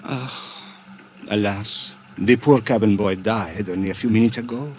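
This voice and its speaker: An actor playing a suave, sly villain in 1950s radio drama, speaking in florid, unctuous phrases with deliberate pauses. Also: male voice, lowish voice, darkish tone, highs cut off, natural voice. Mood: smug, mock regretful. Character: suave, sly male villain, wordy and falsely regretful. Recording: noisy, band-limited old radio recording.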